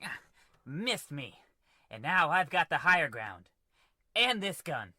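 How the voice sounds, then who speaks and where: A man's voice: fairly high pitch, sing-song, slow pace, sharp tone, no echo, studio quality, full character voice. A man playing a righteous, gloating swashbuckling adventurer in a comedy radio play, declaiming bombastically.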